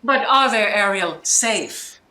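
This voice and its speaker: A roomy home recording with some background noise carries an imperious sorceress acted by a woman. The voice is high and forceful, declaiming Shakespearean verse in a grand, commanding manner. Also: lively intonation, slow. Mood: pleased, curious. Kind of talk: Shakespearean audio drama acting.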